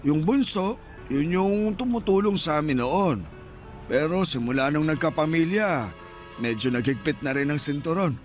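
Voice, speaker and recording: Casting: male actor as contented elderly street vendor dad, calm and reassuring, fairly high voice, radio drama. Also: moderate pace, faint music behind, natural voice. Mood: resigned, matter-of-fact.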